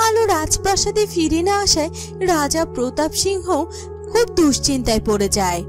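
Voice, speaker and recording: A woman's voice: high voice, very animated, normal speed. A woman narrating a scripted fairy-tale cartoon as a storyteller. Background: music.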